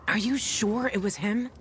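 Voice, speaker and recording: A woman's voice: mid-range voice, a little slow, a bit bright, a little hiss or hum, natural voice. An actress playing a tough, sardonic young woman guiding a newcomer, brash and teasing, in a game cutscene. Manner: brash, teasing, swears freely.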